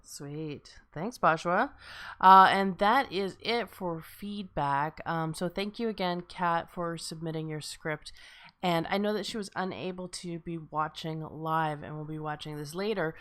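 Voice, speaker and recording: A woman's voice: lowish voice, measured pace, a bit bright. A woman casually moderating a livestream discussion as its host. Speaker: host, moderator of a table read.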